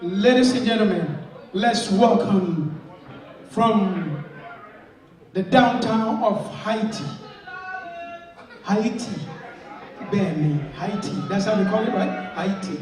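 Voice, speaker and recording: Slow, high-voiced man emceeing a gala. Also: lively intonation, darkish tone. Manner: playful, drawn-out announcing. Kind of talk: introducing performers at a gala. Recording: echoey hall from far off.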